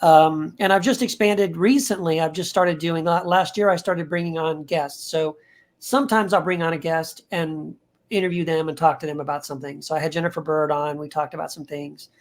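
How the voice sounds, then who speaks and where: Relaxed man chatting as podcast guest. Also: fairly high pitch, normal speed. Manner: relaxed, rambling, easygoing. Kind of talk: casual interview chat.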